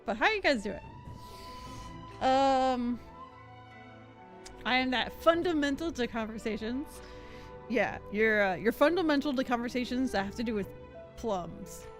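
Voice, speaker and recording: A woman livestreamer chatting casually with viewers over a game, giggly and quick to laugh. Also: on the high side, animated, faint music behind. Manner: giggly, bubbly, laughs often. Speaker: livestreamer host. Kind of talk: casual chat with live viewers while gaming.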